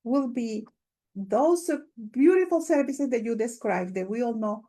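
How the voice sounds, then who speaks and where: A woman with a Latin American Spanish accent, a native Spanish speaker, hosting a webinar with spontaneous, halting remarks as she searches for words. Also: on the high side, warm tone. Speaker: webinar host.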